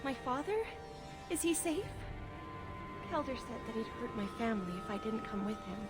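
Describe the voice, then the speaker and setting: A woman playing a frightened young elf girl in a video game, pleading haltingly. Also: on the high side, lively intonation, natural voice. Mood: anxious, shaken. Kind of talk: video game dramatic acting. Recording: long hall reverb, game music behind.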